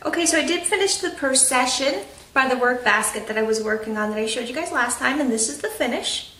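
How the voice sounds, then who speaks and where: A woman's voice: high voice, animated, a little fast, fairly bright tone, distant mic. Stuffy-nosed young woman, chatty, echoey room. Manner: chatty, rambling, lots of fillers and restarts. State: stuffy nose. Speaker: craft vlogger. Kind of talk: casual chatty update. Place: at home. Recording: roomy echo, off-mic.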